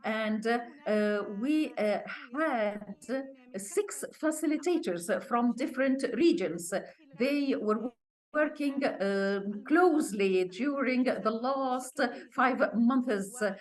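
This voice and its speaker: A woman hosting an online meeting and introducing speakers, talking haltingly with drawn-out pauses. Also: a little high, rough recording. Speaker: host, moderator. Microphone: video call.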